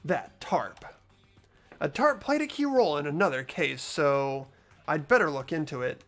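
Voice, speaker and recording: Someone playing an earnest teenage boy detective, lively intonation. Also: medium pitch, moderate pace, faint music behind. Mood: curious, thinking aloud. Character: mild-mannered, hesitant teenage boy detective in a game. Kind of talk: amateur voice acting and inner monologue of a game script.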